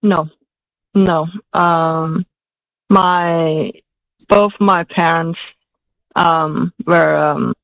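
Danish-raised woman in her 40s, slow and halting, lots of ums, flat delivery. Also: deep voice, gravelly, no echo, lo-fi sound. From Denmark. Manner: hesitant, many fillers and restarts. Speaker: guest, author. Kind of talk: interview answers.

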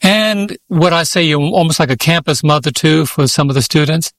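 A man's voice: a little high, normal speed, fairly bright tone, no room sound. A man hosting a studio talk program, introducing and questioning guests in a chatty way that often restarts and repeats itself. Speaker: talk show host.

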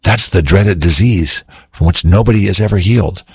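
A kind young slave girl offers help in an earnest, gentle way, as a man reads her part. The voice sits low and husky, close and dry. It is told like a storybook tale. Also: normal speed, no echo, heavily acted. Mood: concerned.

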